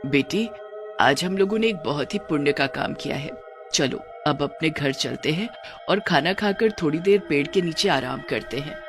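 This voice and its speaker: Woman as a low-voiced motherly storybook figure. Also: animated, moderate pace, music in the background. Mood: kindly. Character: gentle, kindly village mother talking to her daughter.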